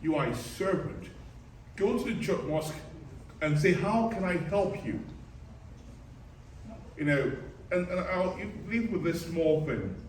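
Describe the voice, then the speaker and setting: Man, higher-pitched, rousing political orator, emphatic and repetitive, recorded at a distance in a noisy room. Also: lively intonation, unhurried, pauses often. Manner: emphatic, exhortative, repeating phrases. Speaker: political speaker at a community reception. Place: reverberant reception room, speaking to a gathering. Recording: echoey, distant hall recording with background noise.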